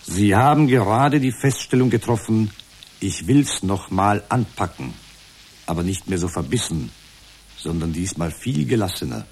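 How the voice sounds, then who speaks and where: An actor playing a calm therapist in a radio drama, speaking in measured, formulaic reflections. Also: male voice, lowish voice, husky. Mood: measured, reassuring. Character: male talk therapist, calm, formulaic, parroting his client.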